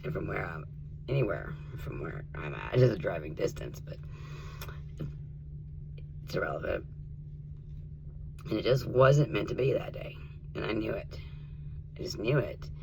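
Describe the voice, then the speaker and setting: A man from Tennessee, his voice rough and in need of rest, talking casually to his viewers and often dropping to an earnest whisper. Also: deep voice, very animated, normal speed, long pauses, noisy background. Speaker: vlogger. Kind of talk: casual spiritual vlog and storytime.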